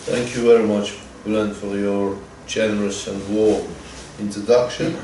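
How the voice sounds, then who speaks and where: A man's voice: lowish voice, level tone, moderate pace, dark tone. A man in his fifties, a native Turkish speaker, giving a seminar lecture with many restarts and repeated words and a dry touch of humor. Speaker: guest lecturer. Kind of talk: academic seminar talk. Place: seminar room. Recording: echoey seminar room, distant mic, faint noise.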